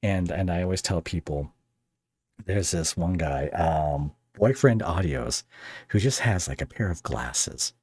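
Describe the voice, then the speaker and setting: A man's voice: deep voice, expressive, measured pace, darkish tone, slightly rough, no echo, studio quality. A man hosting a casual interview podcast, talking in a rambling, self-deprecating way.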